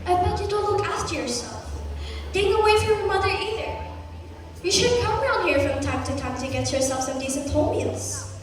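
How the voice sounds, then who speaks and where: A woman's voice: very high voice, animated, moderate pace. A young woman acting a hospitable, proud, chatty middle-aged American mother in an amateur stage play. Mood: motherly concern. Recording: echoey hall from far off.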